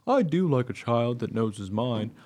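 Man as a mellow, animated, wry mongrel dog. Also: medium pitch, moderate pace, natural voice. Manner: wry, conversational storytelling. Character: plain-looking mongrel dog narrator, wry, good-natured and unpretentious. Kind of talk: radio play first-person narration.

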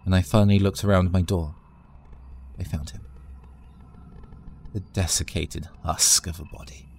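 A man's voice: sits low, fairly even intonation, measured pace, a little mellow, slight background noise. A man narrating a scripted first-person horror story in a dramatic, tense storytelling manner.

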